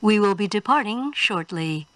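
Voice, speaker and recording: A woman giving a scripted train announcement in a formal, measured manner. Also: medium pitch, animated, fairly bright tone. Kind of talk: scripted English in-car announcement.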